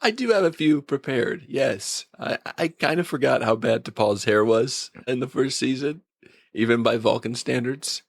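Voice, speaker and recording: Male podcast co-host, conversational and informal, noticeable vocal fry, very dry sound. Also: mid-range voice, expressive, moderate pace, no echo. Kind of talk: casual conversational TV episode review.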